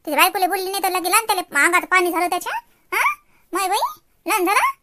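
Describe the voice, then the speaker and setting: A woman's voice: very high voice, very animated, fast, very noisy. A woman playing a quarrelsome, boastful mother-in-law in a cartoon comedy, scolding in a Varhadi Marathi accent from Vidarbha. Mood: indignant, threatening. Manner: scolding, ranting with rhetorical questions. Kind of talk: exaggerated cartoon comedy acting.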